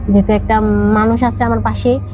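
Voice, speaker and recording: A young adult woman with a Bangladeshi Bengali accent, telling a personal story conversationally in halting, repetitive short bursts. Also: fairly high pitch, flat delivery. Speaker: podcast guest. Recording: background music under the voice.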